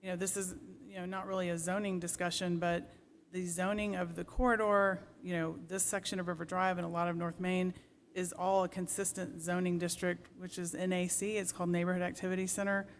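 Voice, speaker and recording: City planner explaining stuff at a public meeting, pretty flat tone, careful wording, bit of room noise. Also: medium pitch, brisk. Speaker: city planning staff member. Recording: noisy meeting room ambience.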